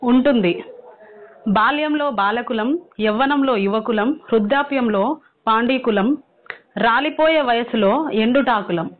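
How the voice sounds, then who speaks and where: A woman answering in a phone conversation with a brisk, assured manner and neatly measured phrasing. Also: fairly high pitch. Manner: brisk, assured, recites rhyming lists. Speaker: interviewee, teacher. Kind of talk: witty rhyming replies in a phone conversation. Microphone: phone line. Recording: tinny phone call recording.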